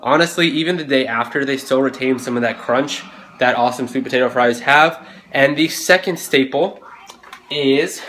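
A man's voice: medium pitch, slight background noise. Young man vlogging, phone at arm's length. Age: young adult. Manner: relaxed, chatty, motivational. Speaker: fitness vlogger. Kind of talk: casual vlog talking to camera. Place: condo gym, then home kitchen. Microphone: phone camera at arm's length.

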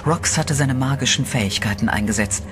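A man narrating a horror radio play in a steady, dramatic storytelling voice, with eerie music behind him. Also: mid-range voice, fairly quick.

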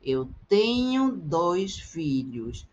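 A middle-aged woman teaching Portuguese, repeating short phrases slowly and with careful articulation for learners. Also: on the low side, big pitch swings, darkish tone, lo-fi sound. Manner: slow, carefully articulated repetition. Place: indoors, webcam in a circle overlay.

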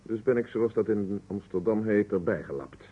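A defensive, sardonic suspect in an old radio play. His voice is low and very husky, with articulate, dry delivery. The recording is muffled and narrow like an old broadcast. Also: normal speed. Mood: dryly defensive. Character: defensive, indignant man being questioned, candid and sardonic. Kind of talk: vintage Dutch radio drama acting.

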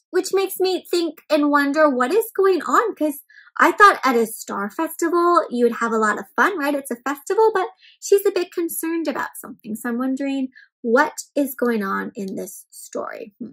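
A woman's voice: sits high, low-quality recording. A young woman hosting an interactive read-aloud for small children, speaking expressively and often putting questions to her listeners. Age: young adult. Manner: animated, expressive, addresses children with frequent questions. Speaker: children's story-time host. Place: small indoor room at home with bookshelves and plants.

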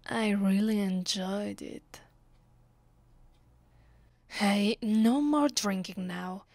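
Slow, breathy Italian-accented vampire mistress, acted by a woman. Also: mid-range voice, dry recording. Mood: commanding, tender. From Italy. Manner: soft, breathy, often half-whispered, deliberate. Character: haughty, possessive female vampire mistress, secretly tender. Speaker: voice actress. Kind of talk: ASMR roleplay, intimate scripted monologue.

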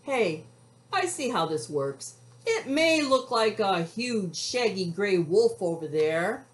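A woman's voice: mid-range voice, very animated, slow pace. A woman voicing an easily frightened storybook cowboy in an exclamatory, questioning way. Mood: unsure, reasoning.

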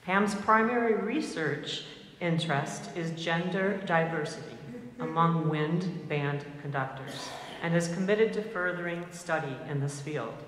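A middle-aged woman conductor with a loud voice, chatting with fillers as she introduces a guest from a concert hall stage. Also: lowish voice, unhurried. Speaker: band conductor. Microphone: mic on a stand. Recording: roomy concert hall reverb, faint hiss.

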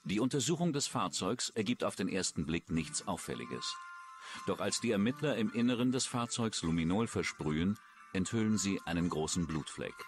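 A man's voice: sits low, expressive, normal speed, over music. A man reading scripted true-crime documentary narration.

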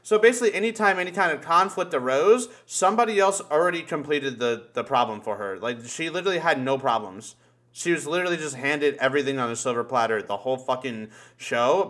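A man's voice: fairly high pitch, a bit bright. A man chatting casually on a podcast over a video call, opinionated and freely swearing as he rants. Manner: opinionated, profane ranting. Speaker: podcast participant. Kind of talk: casual chat.

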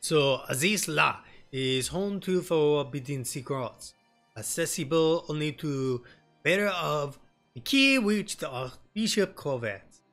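A man's voice: on the high side, animated, slow pace, a bit bright, soft background music, heavily acted. A man voicing an earnest, courteous young elf nobleman with polite, formal speech in a fantasy game. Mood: earnest, thoughtful.

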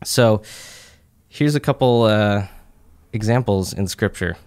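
A young man with a fairly low, smooth and clear voice talks through a Bible teaching in a relaxed, chatty way, full of "uh" and "like." He sits at a studio mic on a boom arm in a small office room. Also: slow pace. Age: young adult. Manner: relaxed, conversational, many "uh" and "like" fillers. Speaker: church teaching podcast speaker. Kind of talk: casual Bible teaching talk.

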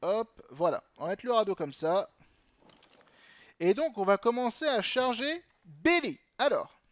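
A man's voice: sits high, very animated, a little slow, dry recording. A young man commenting on his gameplay in a chatty way, swearing often and talking to himself. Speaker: gaming channel host.

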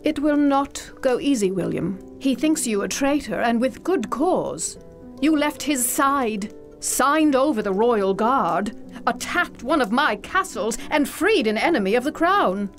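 A woman's voice: high voice, animated, measured pace, faint music behind. An actress playing a proud, spirited noblewoman in a video game cutscene, speaking with a teasing, reproachful edge. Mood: firm.

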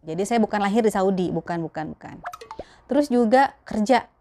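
A woman's voice: mid-range voice, expressive, fairly quick, background noise. A young adult woman with a Betawi Jakarta accent, chatting casually and colloquially to camera as a vlogger answering viewer questions. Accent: Betawi Jakarta Indonesian. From Jakarta, Indonesia. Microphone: clip-on lapel mic.

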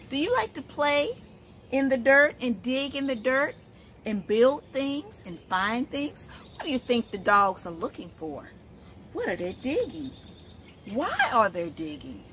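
A middle-aged woman reading a picture book aloud to young children, expressive and asking questions as she goes. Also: high voice, a little hiss or hum. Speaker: storytime host, literacy educator. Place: outdoors, in front of leafy shrubs.

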